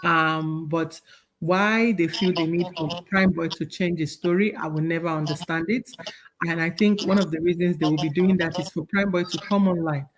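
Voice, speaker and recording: A woman's voice: fairly low pitch, steady tone, normal speed. A woman hosting an online panel discussion over a video call, talking earnestly with many fillers. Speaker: host.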